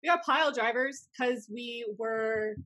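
A woman's voice: fairly high pitch, unhurried, sharp tone. An American woman in her thirties chatting wryly as a podcast co-host into a desk microphone. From United States. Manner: snarky, wry. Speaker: podcast co-host, former wrestling announcer. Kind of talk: casual podcast banter. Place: small room indoors.

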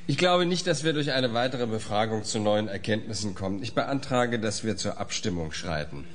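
Low, animated, brusque board member played by a man. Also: normal speed, close to an everyday voice. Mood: impatient. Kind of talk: German audio drama acting.

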